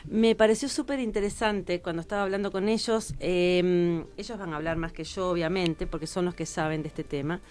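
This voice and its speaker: A woman with a Rioplatense Argentine accent and a slightly low voice hosts a radio segment. She talks casually, introducing and questioning guests at a studio table. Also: steady tone, a little fast, hardly any pauses, darkish tone. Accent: Rioplatense Argentine Spanish. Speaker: radio columnist hosting a diversity segment.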